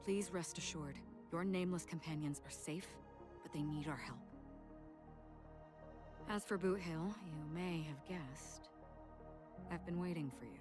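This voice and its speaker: A woman playing a calm, solemn, mysterious exiled swordswoman in a game, speaking in a measured, polite, formal way. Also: sits low. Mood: calm, earnest. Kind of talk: scripted game voice acting. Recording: music behind the voice.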